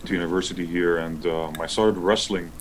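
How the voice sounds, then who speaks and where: Low-voiced, easygoing man from Lebanon. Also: steady tone, a little fast, a little hiss or hum. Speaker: guest, pro wrestler and wrestling school founder. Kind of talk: casual interview answers.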